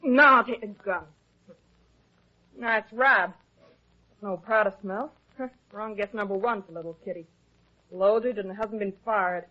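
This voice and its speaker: A woman's voice: mid-range voice, a little slow, long pauses, lightly acted. A woman playing a sultry, menacing femme fatale. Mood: menacing, taunting. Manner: cool, taunting, flirtatious. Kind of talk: 1950s hardboiled radio drama acting. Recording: muffled 1950s radio recording.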